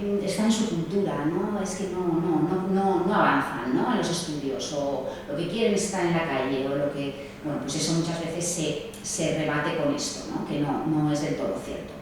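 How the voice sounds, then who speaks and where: Woman lecturer with a low voice, halting, with fillers and tag questions, heard in a very echoey room. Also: darkish tone, a little hiss or hum. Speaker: sociologist speaking at a seminar. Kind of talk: seminar talk. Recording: echoey distant room mic, faint ambience.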